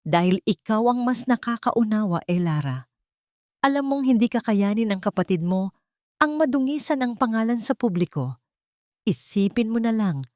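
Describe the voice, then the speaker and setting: A woman's voice: a little high, expressive, moderate pace, a little mellow, no echo, clean studio sound, pitched above the performer's own voice, lightly acted. A woman voicing a cold, domineering rich mother in an audio drama, stern and unyielding as she scolds her daughter. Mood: pressing, reproachful.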